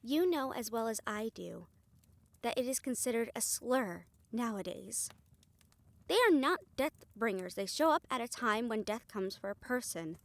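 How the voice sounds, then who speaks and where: A woman's voice: on the high side, lively intonation, unhurried, dry recording. A woman playing a defiant, determined young woman in a fantasy audio roleplay, earnest and sardonic when challenged. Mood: indignant.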